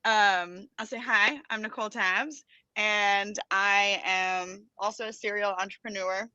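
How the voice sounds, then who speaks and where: Bright-voiced American woman guest. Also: mid-range voice, fairly even intonation, measured pace, no echo. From Los Angeles, United States. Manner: soft-spoken, laughs easily, hesitant fillers. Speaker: guest entrepreneur. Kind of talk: casual interview chat. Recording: dull lo-fi dry recording.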